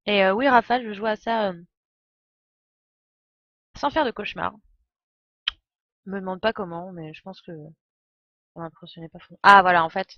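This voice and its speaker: A woman's voice: medium pitch, fairly even intonation, moderate pace, pauses often, dry recording, highs cut off. A woman giving casual live game commentary, explaining as she goes with hesitations. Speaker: game streamer.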